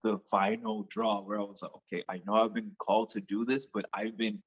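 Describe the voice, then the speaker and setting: A man's voice: on the low side, steady tone, a little fast, breathy edge, highs cut off. A man with a Nigerian accent, a music artist guest talking casually and enthusiastically in an interview, often repeating words as he goes.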